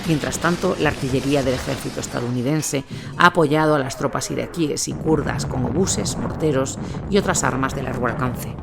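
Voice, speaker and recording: A man's voice: mid-range voice, normal speed, vocal fry, over music. A man reading scripted first-person war narration as a storyteller. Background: noisy ambient sound.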